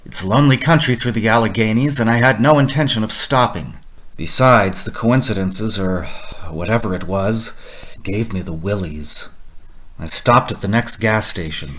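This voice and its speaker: An actor playing a haunted, lonely motorist narrating a suspense radio drama in a halting, urgent way. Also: male voice, on the low side, a bit bright. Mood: unnerved. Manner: halting, repeats himself, urgent. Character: haunted male motorist narrating his uneasy lonely road journey.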